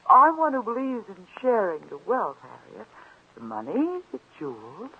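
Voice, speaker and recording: A woman's voice: medium pitch, huge pitch swings, normal speed, lo-fi sound, a little hiss or hum. A woman playing a brazen, coolly confident young blackmailer in a 1940s radio drama, with an arch, insinuating delivery. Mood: greedy, confident. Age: young adult. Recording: band-limited old radio recording.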